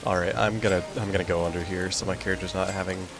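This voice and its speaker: A man's voice: deep voice, level tone, fast talker, over music. A young man streaming a game, chatting casually in a rambling way with many fillers. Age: young adult. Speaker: gaming streamer. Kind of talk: casual chat while playing a game.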